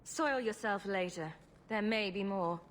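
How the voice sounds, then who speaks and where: A woman's voice: mid-range voice, measured pace, long reverb tail, heavy background noise, natural voice. A woman playing a wry, cool-headed adventurer in video game dialogue.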